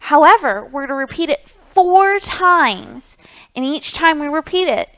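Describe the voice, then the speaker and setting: A woman's voice: sits high, animated, a little slow, a bit bright, rough recording. A woman hosting a children's storytime, reading aloud and explaining things in an encouraging way, speaking directly to young listeners. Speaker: library storytime host.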